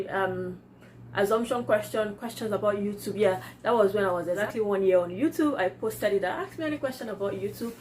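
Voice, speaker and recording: A woman's voice: medium pitch, a little hiss or hum, rough recording. Chatty Nigerian woman vlogger, laughing often. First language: Yoruba. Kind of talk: casual vlog chat, reading viewer comments aloud.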